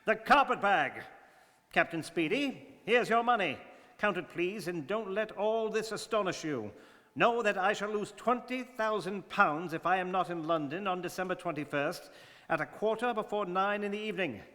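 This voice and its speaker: Man acting high, imperious gentleman traveller. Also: animated, moderate pace. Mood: cool, insistent. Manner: formal, imperious. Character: cool, unflappable English gentleman traveller, commanding and courteous. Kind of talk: stage radio-drama acting. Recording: hall reverb, community studio performance.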